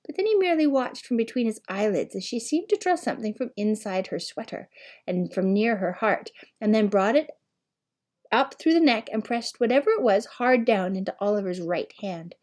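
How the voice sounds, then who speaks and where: A woman reading a children's novel aloud as scripted narration, with an expressive, measured storytelling manner. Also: fairly high pitch. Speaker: audiobook reader.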